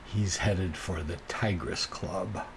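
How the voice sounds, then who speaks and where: Male solo game master, slow and gravelly, improvising narration haltingly over faint noise. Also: low voice. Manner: halting, improvised, thinking aloud. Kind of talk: narrating a solo tabletop role-playing game.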